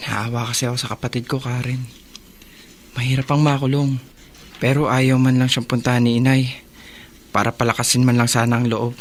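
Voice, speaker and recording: An actor playing a troubled, protective elder brother, earnest and frustrated, in a radio drama. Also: male voice, mid-range voice, level tone, a little fast, pauses often, natural voice. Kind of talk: Tagalog radio drama acting.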